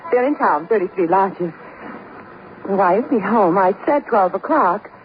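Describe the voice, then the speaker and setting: An actress playing a prim, defensive wife in a radio drama, fussy, emphatic and insistent. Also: female voice, medium pitch, lively intonation, unhurried, close to an everyday voice. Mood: insistent, fretful. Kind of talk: 1950s radio drama acting. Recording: tinny, faintly noisy 1950s radio recording.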